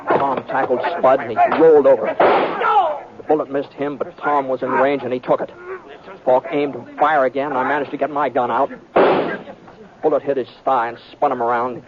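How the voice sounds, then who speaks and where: A calm, laconic cowboy hero played by a man tells his tale in a creaky, mid-pitched voice. It is an old radio drama recording, dull and noisy. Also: natural voice. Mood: reflective. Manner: laconic, unhurried storytelling. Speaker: lead and narrator of radio Western. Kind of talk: 1950s radio Western narration.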